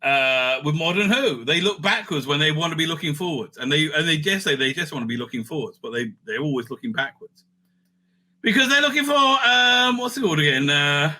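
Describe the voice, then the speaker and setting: A man hosting a casual, off-the-cuff ranking commentary, animated and thinking aloud with frequent asides. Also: on the high side, fairly quick. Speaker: host ranking TV serials.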